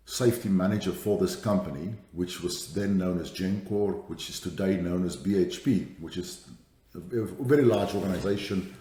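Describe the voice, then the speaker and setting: Deep-voiced South African man, echoey. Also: dark tone. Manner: thoughtful, many fillers and restarts. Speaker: guest, safety strategist. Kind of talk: podcast interview.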